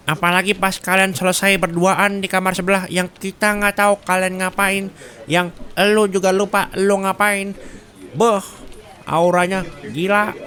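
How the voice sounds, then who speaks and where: A man playing a gossipy, excitable male office coworker in a roleplay, with a Jakarta accent and slangy, dramatic, rambling chat. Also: high-pitched. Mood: gossipy, baffled.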